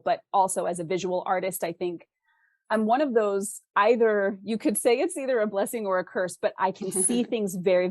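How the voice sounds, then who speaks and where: A woman's voice: lowish voice, darkish tone, no echo. A woman speaking as an interview guest, explaining conversationally with examples and rhetorical questions. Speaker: interview guest, fiction author.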